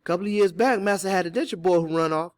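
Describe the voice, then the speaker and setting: Man voicing a high-pitched, protective Southern mother. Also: rapid-fire, no room sound, close to an everyday voice. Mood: grim. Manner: emphatic Southern dialect, strained. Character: enslaved mother, wary, protective, stubborn and anguished.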